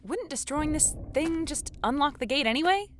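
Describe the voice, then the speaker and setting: Actress for young woman, audio fiction lead: sceptical, uneasy inner monologue, higher voice, very varied intonation. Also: expressive, moderate pace, slight background noise. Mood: doubtful. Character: anxious, sceptical young woman exploring alone, thinking aloud.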